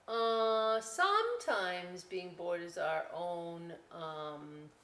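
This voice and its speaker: A woman's voice: lowish voice, very slow, slight background noise. Chatty woman storytime host, echoey room. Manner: chatty, expressive, addresses children directly. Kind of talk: children's storytime and craft talk.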